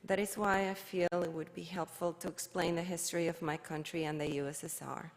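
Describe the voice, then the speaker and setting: Woman from Cuba, middle-aged, low-pitched, measured delivery, long reverb of a big hall. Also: flat delivery. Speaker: worship leader. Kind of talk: reflective talk at a service.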